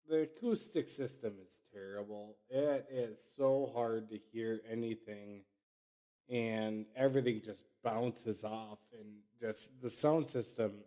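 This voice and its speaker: A man's voice: medium pitch, slow pace, darkish tone. A man co-hosting a sports podcast, chatting casually and giving his opinions with emphasis. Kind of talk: casual chat.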